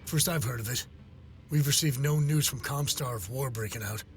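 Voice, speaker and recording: An actor playing a proud, sceptical survey overseer in a video game, with formal and dramatic delivery. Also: male voice, medium pitch, normal speed, close to an everyday voice. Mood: indignant. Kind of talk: cinematic game voice acting.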